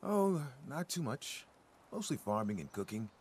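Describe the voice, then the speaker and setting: A man playing a gentle, timid, nature-loving ghoul gardener in a video game, speaking mildly, hesitantly and earnestly. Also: mid-range voice, very animated, a little slow, a little hiss or hum. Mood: reflective. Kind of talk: video game character dialogue.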